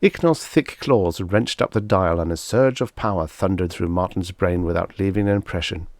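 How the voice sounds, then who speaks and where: A man's voice: low voice, moderate pace, darkish tone, no echo, studio quality. A man reading a scripted story as an audiobook narrator.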